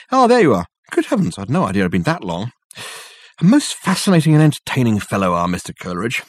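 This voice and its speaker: A man voicing a flamboyant, wordy gentleman who talks grandly and at length. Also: mid-range voice, no room sound, clean studio sound. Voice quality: pompous, wordy, effusive. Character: flamboyant verbose man, pompous and triumphant.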